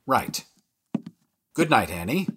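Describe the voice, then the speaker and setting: An actor playing a diplomatic, level-headed theatre producer, courteous and dryly teasing, in a period audio drama. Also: male voice, mid-range voice, very animated, takes it slowly, noisy background. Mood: encouraging.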